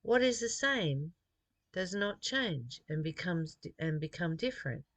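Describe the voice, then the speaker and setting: Slow-paced reader from Australia in a dry space. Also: mid-range voice, expressive, fairly bright tone, no room sound. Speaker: host reading and commenting on a spiritual text. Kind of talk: reading aloud with personal reflections.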